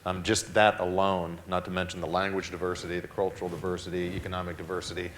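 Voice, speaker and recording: A man with a very low voice speaks as a school district administrator at a town hall panel. He is earnest and often restarts, repeating words as he goes. A faint rumble sits in the background. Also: little pitch movement, fast talker, a bit bright. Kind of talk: panel discussion answer.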